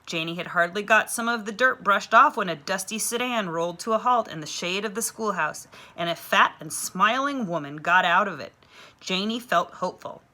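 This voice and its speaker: A woman reading a children's novel aloud with clear, expressive storytelling. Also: mid-range voice, moderate pace.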